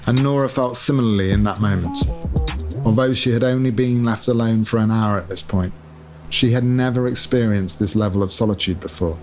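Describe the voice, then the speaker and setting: A man with a fairly low voice narrates a piece of literary fiction. His phrasing is measured and deliberate, and music plays clearly behind him. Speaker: author reading own novel excerpt. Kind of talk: scripted literary narration over sound design. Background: atmospheric music.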